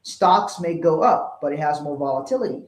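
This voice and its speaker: A man's voice: fairly high pitch, animated, normal speed. A man from Liberia presenting a financial webinar in a conversational, explanatory way. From Liberia, West Africa. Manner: explanatory, illustrates points with acted-out dialogue. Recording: roomy echo.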